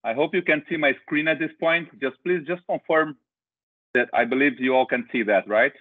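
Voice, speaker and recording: A man's voice: fairly high pitch, moderate pace. Brazilian-accented man on a tinny call. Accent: Brazilian Portuguese. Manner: hesitant, restarts with filler uhs. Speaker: company director presenting a webinar. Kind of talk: webinar presentation. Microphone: video call. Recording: tinny phone-like video call audio.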